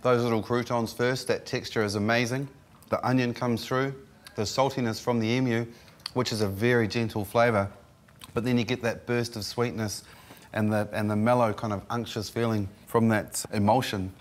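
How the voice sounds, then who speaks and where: A man's voice: mid-range voice, fairly even intonation, moderate pace. Enthusiastic New Zealand man presenting food. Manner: enthusiastic, tasting and describing food. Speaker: travel cooking show host. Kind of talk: presenting a travel food show. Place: outdoor kitchen and orchard. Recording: light music underneath.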